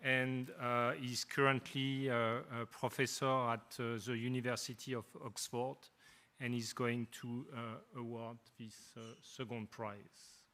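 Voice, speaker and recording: A man hosting an award ceremony, making live introductions in a halting way with many hesitations. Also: mid-range voice, flat delivery, sharp tone. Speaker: ceremony host.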